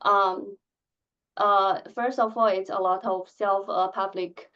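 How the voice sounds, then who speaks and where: A woman in her thirties who stutters, giving a candid conference talk with blocks and filled pauses. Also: medium pitch, steady tone, smooth, highs cut off. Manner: soft-spoken, candid, measured. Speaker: conference speaker. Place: conference hall.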